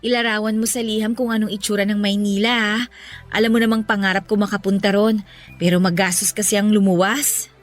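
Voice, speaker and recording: A woman playing a caring, level-headed young girlfriend in a Filipino radio romance, gentle and reassuring. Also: medium pitch, little pitch movement, a little fast, soft background music, lightly acted. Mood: caring, fond. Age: young adult. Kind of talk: Tagalog radio romance drama acting.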